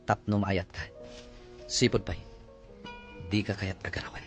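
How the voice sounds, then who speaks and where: A man's voice: deep voice, steady tone, unhurried, soft background music. An actor playing a stern man who bluntly rejects a woman. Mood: cold. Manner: dismissive and blunt.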